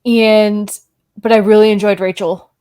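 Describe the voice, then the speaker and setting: A woman guesting on a casual podcast review, enthusiastic and quick to laugh. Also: mid-range voice, little pitch movement, mellow, smooth.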